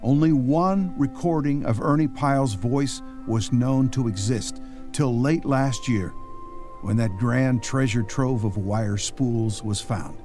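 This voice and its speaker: A man's voice: mid-range voice, slow, warm tone, soft background music, lightly acted. An actor playing an earnest, folksy old-time radio newsman, narrating from a script. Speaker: announcer-narrator.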